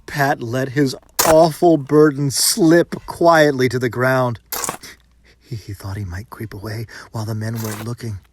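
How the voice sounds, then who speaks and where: A man's voice: medium pitch, fairly even intonation, measured pace, slight background noise. A man reading a folktale as dramatic narration, with a theatrical, suspenseful and emphatic delivery.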